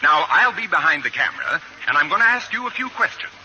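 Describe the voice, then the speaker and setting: An excitable film director in an old radio play. His delivery is brisk and high, swinging in pitch, with clear static. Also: dull audio, exaggerated voice. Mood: businesslike. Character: harried, excitable newsreel director. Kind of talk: 1940s radio acting. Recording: noisy old radio recording.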